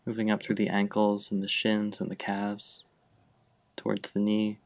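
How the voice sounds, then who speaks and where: A man leading a guided meditation, giving gentle, unhurried instructions with long pauses between phrases. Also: fairly low pitch, monotone, gravelly. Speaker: meditation guide, mindfulness fellow. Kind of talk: guided meditation, slow and spacious.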